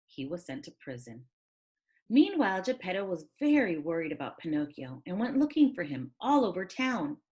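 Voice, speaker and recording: A woman reading a children's story aloud as a teacher, with expressive, dramatic delivery. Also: fairly low pitch, moderate pace.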